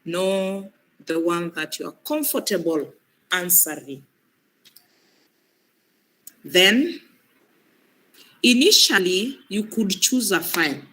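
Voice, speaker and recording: A woman's voice: lowish voice, animated, slow pace, pauses often, fairly bright tone, poor audio. A woman with a cold and a breaking voice, patiently guiding students through an online exam, often repeating her points. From Kenya. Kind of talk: live training demonstration.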